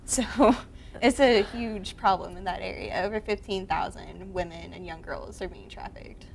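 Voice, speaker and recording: A woman's voice: medium pitch, measured pace, gravelly. A young woman explaining a charity run in an interview, earnest, with ums and uhs.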